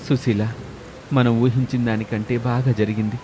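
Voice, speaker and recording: A man voicing a poor, hardworking village husband in an animated story, earnest and reassuring. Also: medium pitch, normal speed, slight background noise, lightly acted. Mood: hopeful. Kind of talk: animated moral story dialogue.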